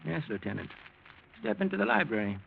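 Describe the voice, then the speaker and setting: A man acting in an old radio drama as a wealthy, shrewd, irritable old patriarch with a gravelly voice. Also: fairly high pitch, big pitch swings, a little fast, very noisy, heavily acted. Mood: coolly shrewd. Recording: dull, lo-fi old radio recording.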